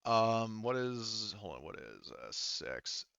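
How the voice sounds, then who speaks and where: Male game master, smooth low voice, casual and halting, slightly dull close recording. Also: very animated, a bit bright, dry recording. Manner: halting, thinks aloud while checking rules. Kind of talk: running a tabletop roleplaying game, casual.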